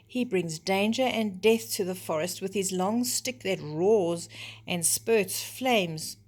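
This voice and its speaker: A woman's voice: medium pitch, takes it slowly, darkish tone. A woman voicing a protective mother deer in a children's storybook, soothing and earnest. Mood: grave. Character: gentle, protective mother deer, warning and explaining.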